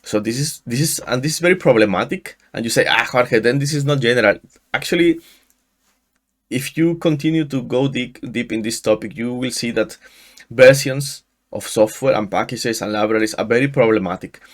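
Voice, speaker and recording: A man in his thirties from Mexico, a native Spanish speaker with a Mexican accent, walking through a software install in a screen-share tutorial, often restarting his sentences. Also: mid-range voice. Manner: halting, frequent restarts and fillers. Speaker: tutorial host. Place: small room indoors. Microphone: headset.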